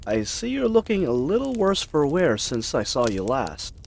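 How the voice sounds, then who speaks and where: Man playing a bumbling, babbling knight, animated delivery. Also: on the high side, moderate pace, vocal fry, a little hiss or hum, exaggerated voice. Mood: chatty, curious. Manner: chatty, rambling, hesitant, flustered. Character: friendly bumbling babbling young-hearted male knight, eager and awkward. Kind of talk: fantasy audio roleplay addressed to the listener.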